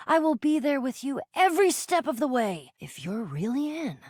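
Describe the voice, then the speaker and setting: A woman's voice: sits high, very animated, normal speed, no room sound, studio quality. A woman voicing a plucky, earnest and slightly insecure adventurer in a video game, mixing dramatic quest-speak with stammering asides. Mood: eager, solemn. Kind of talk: animated video game character acting.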